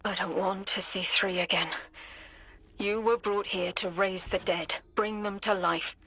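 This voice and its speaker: Husky, curt mission leader. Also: female voice, mid-range voice, steady tone, a little slow, sharp tone, full character voice. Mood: curt, resolute. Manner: curt, commanding. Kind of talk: dramatic video game acting.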